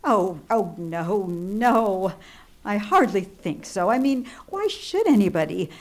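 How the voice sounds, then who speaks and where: An actress playing an anxious, demanding bedridden wife in an old-time radio thriller, flustered and easily exasperated. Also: female voice, medium pitch, lively intonation, slow, a little mellow. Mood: hesitant, uneasy. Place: live theatre stage radio play.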